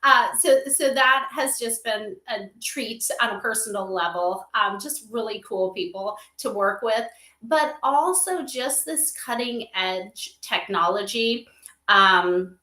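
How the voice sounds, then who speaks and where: A woman's voice: mid-range voice, slow pace, bright, forceful tone. A woman guest chatting casually and enthusiastically in an interview, with a loose conversational flow, recorded in a roomy livestream setup. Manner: enthusiastic, conversational, peppered with "you know". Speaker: interview guest, company advisor. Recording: roomy, echoey livestream audio.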